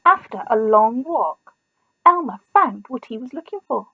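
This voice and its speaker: A woman teacher reading a children's story expressively, in a deliberate manner with pauses. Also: on the high side, lively intonation, slightly rough, phone-quality sound. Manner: deliberate, pausing, asks listeners questions.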